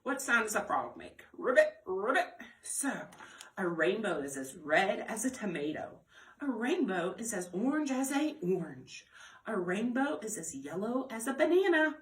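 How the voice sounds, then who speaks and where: Lady doing a kids storytime lesson, sing-song and really up and down in pitch, mid-range voice, talks right to the little ones. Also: sing-song, normal speed, a bit bright. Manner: sing-song, prompting young viewers with questions. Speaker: children's storytime presenter at a library. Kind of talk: children's lesson with repetition and demonstration.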